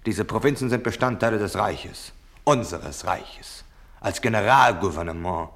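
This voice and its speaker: An actor playing a rigid, contemptuous young German officer in a radio play, with curt, commanding military phrasing. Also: male voice, fairly low pitch, normal speed, gravelly, a bit bright. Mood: scornful.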